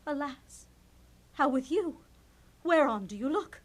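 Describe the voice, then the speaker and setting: Upset shakespeare queen mom, high bright voice, dramatic and slow. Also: lively intonation. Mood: anxious sorrowful. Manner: lamenting, dramatic. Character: Hamlet's distressed mother, an anguished queen. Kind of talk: theatrical Shakespearean puppet show acting.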